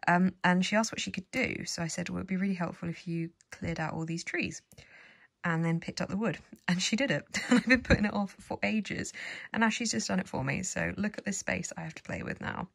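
A young woman with a low, soothing voice, chatting self-deprecatingly to camera from her bedroom. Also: brisk, gravelly, fairly bright tone, husky. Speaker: book vlogger. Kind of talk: casual chat to camera. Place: bright bedroom with bookshelves, indoors. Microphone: phone or camera at arm's length.

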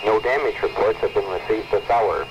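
A man reading scripted weather bulletins as a radio announcer, in formal, measured phrases. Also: lowish voice. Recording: muffled, noisy 1970s weather radio recording.

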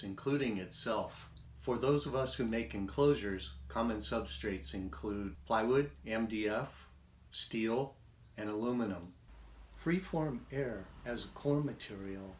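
A man in his fifties giving a scripted instructional presentation in a measured, deliberate, technical manner. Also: medium pitch, a little hiss or hum. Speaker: presenter of a product tutorial. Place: small indoor workshop with bare walls. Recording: roomy, echoing workshop sound.

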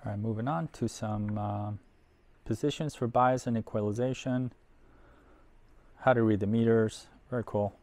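A middle-aged man giving casual, unhurried unboxing commentary, thinking aloud as he handles things in a workshop. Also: fairly low pitch, fairly even intonation, darkish tone. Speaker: host of an audio gear unboxing video. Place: indoor workshop at a workbench.

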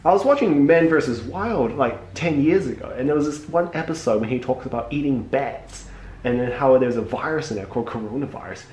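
A man's voice: medium pitch, lively intonation, a little fast, a little mellow. Young male podiatrist from Hong Kong, easygoing small talk with a patient, echoey treatment room. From Hong Kong, moved to New Zealand young. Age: young adult. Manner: easygoing, uses "like" often. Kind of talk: casual chat with a patient while working. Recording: roomy echo, clear background noise.

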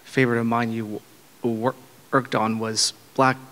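Man, audience member, flat level pitch, halting restarts, handheld mic, big hall reverb. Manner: halting, stumbles and restarts while asking. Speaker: audience member asking a question. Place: large convention hall panel room.

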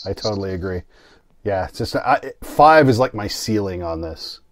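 A man's voice: lowish voice, lively intonation, normal speed, no echo, studio quality. A man co-hosting a casual film review podcast, talking in a wry, easygoing way. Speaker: podcast co-host.

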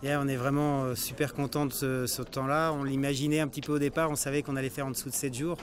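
A male co-skipper speaks with very little rise and fall in his voice, pausing and restarting as he talks about the finish. He is interviewed outdoors at a harbour at night on a handheld mic. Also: medium pitch, flat delivery.

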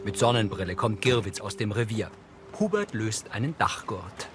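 A man's voice: lowish voice, very animated, moderate pace, over loud music. A man reading scripted audio description narration for a television drama.

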